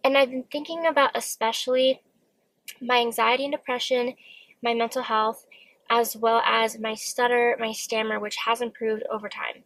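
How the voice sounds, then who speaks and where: Woman, young adult, candid vlog talk, slight stammer, somewhat high voice, dry close sound. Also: level tone, normal speed, fairly bright tone, no room sound, rough recording. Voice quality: occasional stammer. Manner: open, earnest, self-reflective. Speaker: vlogger. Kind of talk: candid solo talk to camera. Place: parked car.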